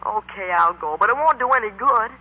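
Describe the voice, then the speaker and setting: An actor playing an awkward, hurting teenage boy in a 1940s radio play, speaking in a halting, folksy way. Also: high-pitched, animated. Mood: glum, evasive. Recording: dull lo-fi 1940s radio recording.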